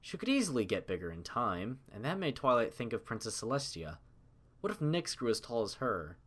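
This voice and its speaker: A man reading scripted story narration in a measured, dramatic manner. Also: mid-range voice, sing-song, fairly bright tone.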